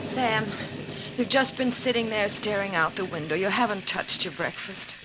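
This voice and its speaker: An actress playing an anxious middle-aged housewife in a 1940s radio drama, earnest, hesitant and pleading. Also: female voice, medium pitch, normal speed, natural voice. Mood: concerned. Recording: noisy old radio recording.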